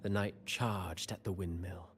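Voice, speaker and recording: A man acting a sly, mocking schemer and storyteller in a video game, speaking in florid, measured, theatrical lines. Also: low-pitched, steady tone. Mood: grand storytelling. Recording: voice over game music.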